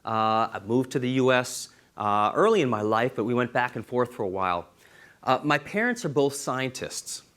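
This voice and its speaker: An earnest man speaks at a university seminar, pitched squarely in the middle of the male range. He is a law professor from Israel, and he leans hard on the key numbers to drive them home. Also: lively intonation, moderate pace, fairly bright tone. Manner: earnest, stresses key figures with emphasis.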